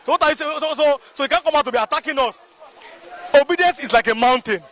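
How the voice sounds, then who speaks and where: High-pitched emphatic Nigerian man. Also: fast talker, a bit bright, some chatter behind. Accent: Nigerian (Yoruba). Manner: emphatic and repetitive, often asking "you understand?". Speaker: local spokesman of a political movement. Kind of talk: impassioned street interview.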